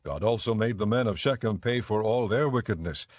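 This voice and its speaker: A man narrating a dramatized audio Bible in a measured, clearly enunciated storytelling style. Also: on the low side, darkish tone, no room sound.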